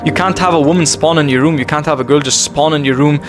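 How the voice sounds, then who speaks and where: Young male vlogger, fairly high voice, rapid earnest confessional delivery, repeats lines for emphasis, music bed underneath. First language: English. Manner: earnest and intense, repeats phrases for emphasis, calls listener bro. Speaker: self-improvement vlogger. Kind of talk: emotional confessional monologue to camera. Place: bedroom.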